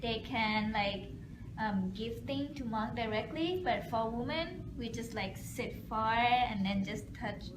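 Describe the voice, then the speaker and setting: A woman's voice: on the high side, slow pace. A woman in her twenties from Thailand with a Thai accent, answering interview questions hesitantly while searching for words. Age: 20s. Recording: echoey room with background noise.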